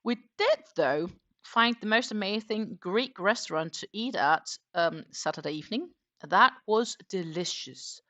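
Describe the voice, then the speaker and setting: A woman's voice: medium pitch, expressive, unhurried, bright, forceful tone. A middle-aged woman hosting a crochet livestream, talking in a casual, rambling way with frequent ums as she tells about her weekend. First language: not German. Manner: chatty, rambling, frequent um fillers. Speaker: crochet channel host.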